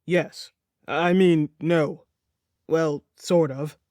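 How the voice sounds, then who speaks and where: Lady doing a gruff warrior prince for an audiobook, blunt and a bit evasive, dry close sound. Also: low-pitched, fairly even intonation, drawn-out words, darkish tone, dry recording. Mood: awkward, evasive.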